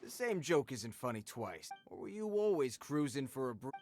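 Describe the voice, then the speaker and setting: A man's voice: medium pitch, very animated, normal speed, a little mellow, slight background noise. An actor playing a smug, mocking male thug in an anime game, with a swaggering delivery.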